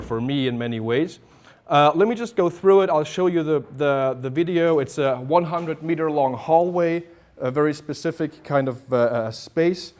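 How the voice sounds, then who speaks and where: A man giving an informal lecture to art students, talking hesitantly with many fillers and restarts. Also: medium pitch. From Germany. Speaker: visiting artist lecturer. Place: classroom at an art academy.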